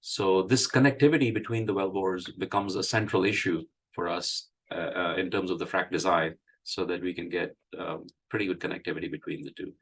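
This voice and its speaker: A man with a cold, hoarse, giving a halting technical presentation with many fillers. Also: low voice, steady tone, fairly bright tone. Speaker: engineering presenter. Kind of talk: technical presentation at an online meeting.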